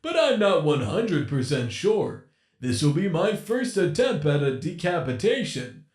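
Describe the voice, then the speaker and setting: A man voicing a menacing animatronic captor in a storybook reading, talking in a slow, mocking, theatrical drawl. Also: fairly high pitch, sing-song, a little mellow, echoey room, pitched above the performer's own voice. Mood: gloating. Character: menacing male animatronic captor, gleeful and cruel.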